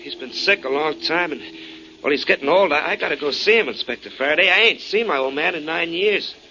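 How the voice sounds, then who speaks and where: An actor playing a plain-spoken, evasive airfield mechanic with a hesitant, folksy manner in a 1940s radio drama. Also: male voice, fairly high pitch, expressive, brisk, bright, forceful tone, a little hiss or hum. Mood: earnest pleading. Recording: dull lo-fi 1940s radio recording.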